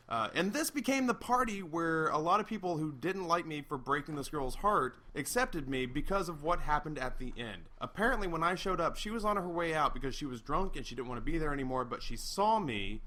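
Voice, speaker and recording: A man's voice: a little high, very animated, fairly quick, few pauses, pushed and bright. A young man, drunk, rambling through a profane, self-mocking story among friends around an outdoor fire. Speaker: storyteller host. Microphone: laptop mic.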